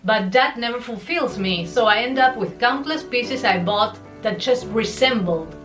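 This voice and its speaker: A woman's voice: a little high, measured pace, over music. A woman vlogger, not a native English speaker, talking candidly and reflectively about her own habits in a confessional vlog. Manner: candid, reflective, self-deprecating. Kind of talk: personal confessional vlog narration.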